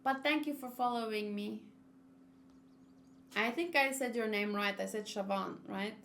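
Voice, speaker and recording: Higher-voiced woman chatting casually on stream. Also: unhurried, vocal fry, a bit bright, slight background noise. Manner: informal, chatty, reacting aloud. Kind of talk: casual livestream chat while gaming.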